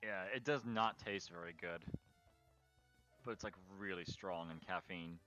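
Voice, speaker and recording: Chill guy co-streaming, low but bright voice, kinda dull audio. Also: a little slow, faint music behind. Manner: easygoing, joking. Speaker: co-host on stream. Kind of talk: casual game stream chat.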